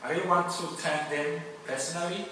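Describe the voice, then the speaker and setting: A man from Cameroon, a native Yemba speaker, giving an earnest, grateful award acceptance speech with measured pauses. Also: fairly high pitch, steady tone, bright, forceful tone. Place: large hall. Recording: echoey hall, distant mic, noisy.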